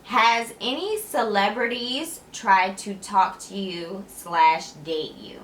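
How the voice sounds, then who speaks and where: Young woman vlogger, bright and chatty, a touch raspy from tiredness, recorded in an echoey room. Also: medium pitch, takes it slowly, a little hiss or hum. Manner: energetic, chatty, laughs often, sassy asides. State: tired, slightly raspy voice late at night. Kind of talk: casual chat to camera.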